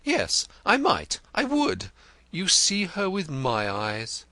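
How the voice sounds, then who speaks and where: A man's voice: a little high, wildly swooping pitch, takes it slowly, sharp tone, no echo, pitched above the performer's own voice, heavily acted. A man voicing a vain, theatrical male poet in an audiobook, florid and gushing, stammering when pressed. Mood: effusive. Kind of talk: audiobook character reading.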